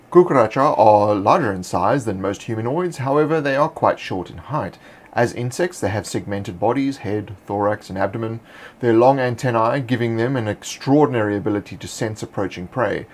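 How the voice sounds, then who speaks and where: Guy with a low voice reading monster lore slow and steady, like a host. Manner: measured reading of fantasy lore. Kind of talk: scripted narration.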